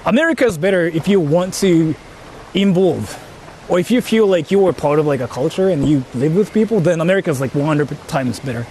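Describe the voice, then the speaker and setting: A Japanese-accented man with a fairly high voice talks to his livestream viewers. His delivery is animated and halting, often repeating phrases as he explains himself. Faint city and traffic noise surrounds him outdoors. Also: rough recording. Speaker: live-streamer. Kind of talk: casual livestream chat to viewers.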